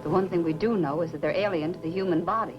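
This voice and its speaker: An actress playing a composed, serious woman scientist with a measured delivery, in 1950s science fiction film style. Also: on the low side, over music, natural voice. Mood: grave. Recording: dull, hissy 1950s film soundtrack.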